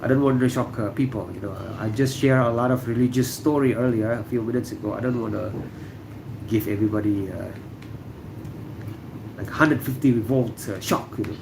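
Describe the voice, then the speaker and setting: A middle-aged man from Indonesia hosting a relaxed livestream, answering viewer comments with lots of fillers and pauses. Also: mid-range voice, creaky, noisy background. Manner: relaxed, halting, many fillers. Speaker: livestream host. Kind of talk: casual livestream chat reading viewer comments. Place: room indoors.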